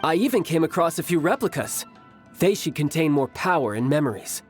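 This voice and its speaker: A cocky battle-loving fighter. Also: male voice, fairly high pitch, sing-song, fairly quick, faint music behind. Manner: breezy, boastful, teasing. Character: cocky young male fighter who loves battle. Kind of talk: video game cutscene dialogue.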